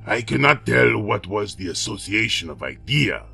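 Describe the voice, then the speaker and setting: A man voicing a crippled dwarf jester, who answers the king in a subdued, halting way. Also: lowish voice, very animated, vocal fry, pushed and bright, breathy edge, faint music behind, exaggerated voice. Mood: tranquil. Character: crippled male dwarf jester, bewildered and quietly defiant.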